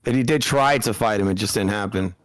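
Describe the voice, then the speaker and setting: Quick-talking man, dry close sound. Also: on the low side, no echo. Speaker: podcast panelist. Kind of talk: casual boxing debate.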